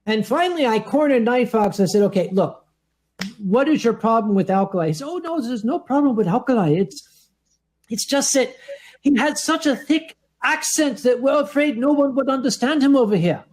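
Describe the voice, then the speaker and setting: A man on a live comedy panel telling a story in a dry, deadpan way. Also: extremely high pitch, normal speed.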